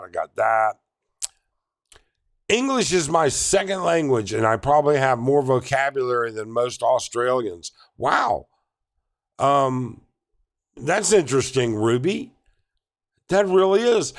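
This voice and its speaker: A middle-aged man hosting a casual live stream, reading chat comments aloud and answering with many ums and restarts. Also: medium pitch. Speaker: livestream host.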